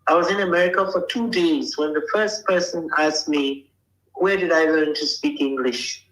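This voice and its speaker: A woman's voice: sits low, moderate pace, a bit bright, reverberant, poor audio. An older woman from Liberia, speaking bluntly and emphatically as an interview guest, often checking that she is understood. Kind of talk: casual interview talk.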